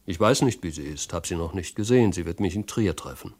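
A man's voice: sits low, expressive, fairly quick, darkish tone. A male audiobook reader voicing a self-satisfied, affable but condescending Roman husband and rising ruler. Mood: breezy, casual.

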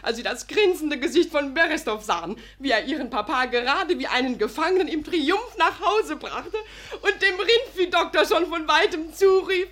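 Excitable high-voiced cheeky maid. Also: female voice, moderate pace, few pauses. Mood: gleeful, excited. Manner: breathlessly eager, giggly, teasing. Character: cheeky, gossipy young maidservant, excitable. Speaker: actress in a radio play. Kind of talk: animated radio comedy storytelling.

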